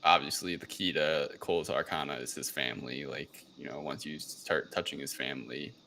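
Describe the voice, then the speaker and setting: A man casually recapping a film as a podcast co-host, rambling and halting, with frequent swearing. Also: very deep voice, steady tone, sharp tone, slight background noise.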